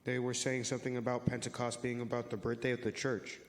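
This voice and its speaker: Casting: earnest male youth for church reader's theater, played by a man, explanatory and friendly, mid-pitched, heard in a reverberant hall. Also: flat delivery, fast talker, lightly acted. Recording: echoey hall with long reverb.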